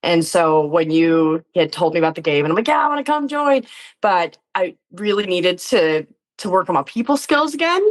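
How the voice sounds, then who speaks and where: A woman speaks as an interview guest in a slightly low voice. Her style is casual and informal, with a self-effacing way of talking. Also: sing-song, normal speed. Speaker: interview guest, tabletop game performer. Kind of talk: casual chat.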